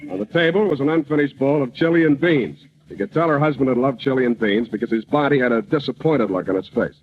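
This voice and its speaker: Man as a hard-boiled detective, deadpan, wisecracking noir narration, slightly high voice, dull vintage radio recording. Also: fast talker, close to an everyday voice. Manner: deadpan, cool tough-guy delivery. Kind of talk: old-time radio comedy sketch, noir narration. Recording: noisy, dull old radio broadcast recording.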